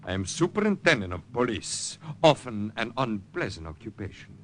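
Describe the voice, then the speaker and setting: A suave, scheming police count, lowish and silky, swooping freely through his pitch as he coaxes. Old radio drama delivery, smooth and sly. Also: big pitch swings, moderate pace, a bit bright, a little hiss or hum. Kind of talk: 1940s radio acting.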